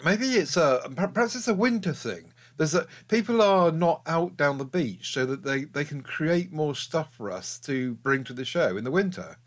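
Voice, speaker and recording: A man's voice: a little high, animated, moderate pace, hardly any pauses. A middle-aged man co-hosting a podcast, chatty and jokey with frequent ums. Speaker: podcast co-host. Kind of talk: casual chat.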